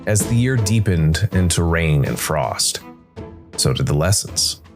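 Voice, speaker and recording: A man narrating a scripted dark fantasy story in a measured way, with dramatic pauses. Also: deep voice, animated. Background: background music.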